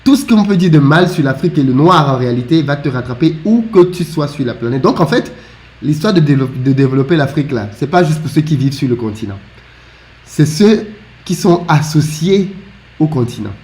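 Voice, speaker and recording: A man's voice: on the high side, animated, fairly quick, darkish tone. A man co-hosting a discussion, agreeable and reflective, with a light laugh now and then. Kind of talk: conversational discussion.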